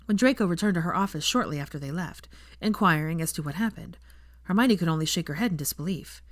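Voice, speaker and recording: A woman's voice: fairly low pitch, expressive, fast, no echo, clean studio sound. A woman narrating a scripted story as an audiobook reader. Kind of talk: scripted fiction narration with character voices.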